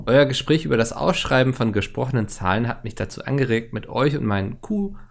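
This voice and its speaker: A man's voice: mid-range voice, lively intonation, brisk, creaky. A man co-hosting a casual podcast, reading listener letters aloud and joking along. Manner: reads listener letters aloud, jokey, laughs a lot. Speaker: podcast co-host.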